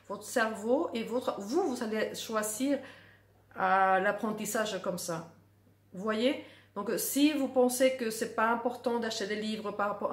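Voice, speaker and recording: Middle-aged woman, drawing teacher, chatty and earnest, repeats words for emphasis, echoey room. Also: mid-range voice. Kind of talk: casual talk to camera.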